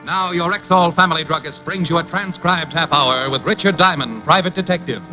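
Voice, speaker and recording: A man's voice: a little high, fairly quick. Formal radio announcer man over music. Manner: formal, declamatory. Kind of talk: 1950s radio show introduction. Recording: dull vintage radio broadcast.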